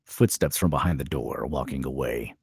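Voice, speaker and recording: A man reading screenplay directions as a dramatic narrator, in clipped, suspenseful phrases with pauses for effect. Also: rumbling low, gravelly, a little mellow, slightly rough, dry recording, clean studio sound. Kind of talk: dramatic scripted narration at a table read.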